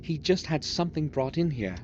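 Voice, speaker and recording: An actor playing a matter-of-fact protagonist who observes an old castle aloud in a video game. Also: male voice, on the high side, expressive, brisk, natural voice. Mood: observant. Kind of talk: scripted video game narration. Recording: muffled, very noisy game audio.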